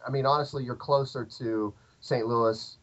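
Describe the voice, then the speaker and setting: Man, 30s, southern Illinois accent, meandering chat, mid pitch, lo-fi recording. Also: steady tone, normal speed. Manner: rambling, lots of fillers and restarts. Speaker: podcast guest, musician. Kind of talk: casual interview chat. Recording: dull lo-fi podcast audio.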